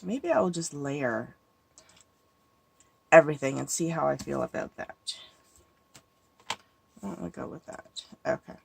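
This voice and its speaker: A woman's voice: deep voice, animated, unhurried, creaky, long pauses, husky, no echo. A woman with a stuffy cold, thinking aloud as she walks through making a handmade card. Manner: thinking aloud, musing and hesitant. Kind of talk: casual crafting tutorial talk.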